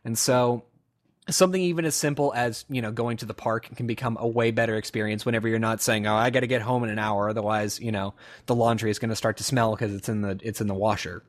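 A man's voice: on the low side, steady tone, fast. A young man co-hosting a casual podcast, rambling reflectively with frequent restarts and fillers. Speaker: podcast co-host. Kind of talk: casual chat.

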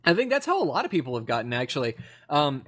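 Man, mid-pitched voice, podcast co-host, casual chat, opinionated, rambling. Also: huge pitch swings, quick pace, a bit bright.

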